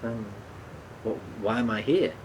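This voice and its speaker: A man's voice: measured pace, natural voice, low-quality recording. An actor in a radio play playing a remorseful, defensive man who speaks hesitantly, stumbling and repeating himself. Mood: apologetic, guilty. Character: remorseful, defensive man haunted by a fatal drunk-driving crash. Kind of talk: naturalistic radio play dialogue. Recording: steady background noise, low rumble.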